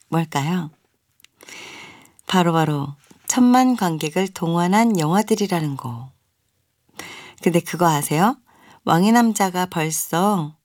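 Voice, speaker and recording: A woman's voice: fairly low pitch, a little mellow, dry recording, clean studio sound. A young woman hosting a radio music show, chatting casually and reading listener messages with friendly asides. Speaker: radio host.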